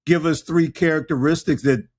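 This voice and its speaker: A man's voice: a little high, steady tone, moderate pace. A man hosting an interview podcast, asking questions in a playful, teasing way. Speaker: podcast host. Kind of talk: casual interview chat.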